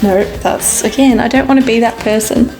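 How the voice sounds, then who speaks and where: A woman's voice: fairly high pitch, steady tone, normal speed, mellow. A woman taking part as a contestant in a podcast game, recorded with a roomy sound. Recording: roomy sound, faint music.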